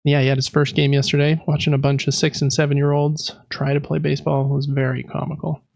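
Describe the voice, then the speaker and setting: Casual American man, slightly creaky voice. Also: fairly high pitch, monotone, quick pace, darkish tone, no echo, dull audio. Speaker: podcast co-host. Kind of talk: casual chat.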